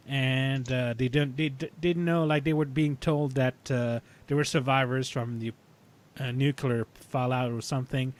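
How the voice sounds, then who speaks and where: A man talking casually as a podcast panelist about sci-fi television, thinking aloud in a halting way. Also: mid-range voice.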